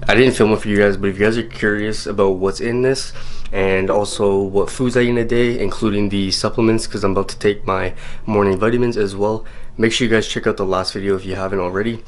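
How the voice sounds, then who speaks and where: A man's voice: fairly low pitch, fairly even intonation, fast talker. A young man in his twenties talking casually to his camera in a bedroom, an easygoing fitness vlogger. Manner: easygoing, chatty, lots of "guys". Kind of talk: casual vlog talk to camera. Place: small bedroom indoors, later a parked car.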